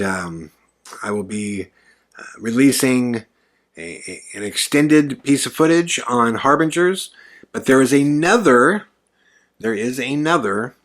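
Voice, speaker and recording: A man hosting his own podcast, talking earnestly in a casual monologue, often pausing on fillers. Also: mid-range voice, fairly bright tone. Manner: earnest, hesitant with many fillers. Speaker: podcast host.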